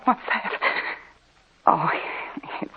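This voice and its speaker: A woman playing an anxious, jumpy young wife in an old radio horror drama, with theatrical alarm. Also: very slow. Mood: nervous. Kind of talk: 1940s radio horror acting. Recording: noisy, tinny old radio recording with clicks.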